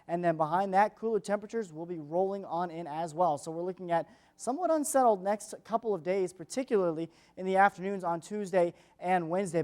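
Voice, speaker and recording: A woman's voice: mid-range voice, darkish tone. An American woman presenting a live weather forecast on television, explaining it in a chatty way.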